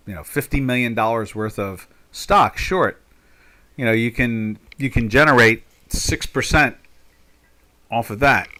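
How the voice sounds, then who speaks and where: A man's voice: medium pitch, bright, forceful tone, poor audio. Older man with a South Jersey accent. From Pinelands of South Jersey. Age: 60s. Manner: rambling, chatty, many fillers and restarts. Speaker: market trader and stream host. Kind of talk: live trading chat, thinking aloud.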